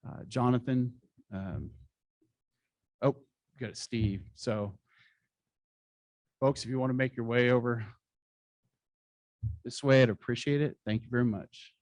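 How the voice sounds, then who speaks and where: Male awards presenter at a podium, measured formal delivery, average pitch, dry close sound. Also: fairly even intonation, darkish tone, no room sound. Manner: formal, measured reading with occasional slips. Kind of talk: reading award citations at a conference. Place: conference hall stage.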